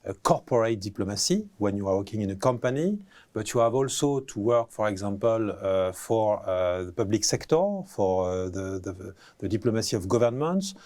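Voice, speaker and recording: A man, a professor answering interview questions, pausing often with fillers and restarting phrases. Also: lowish voice, animated, a little mellow. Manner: hesitant, many fillers and restarts.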